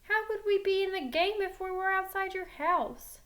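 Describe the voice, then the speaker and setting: A woman's voice: very high-pitched, moderate pace, fairly bright tone, pitched above the performer's own voice. A woman voicing a wry, reasoning boy who games with his friends in a story.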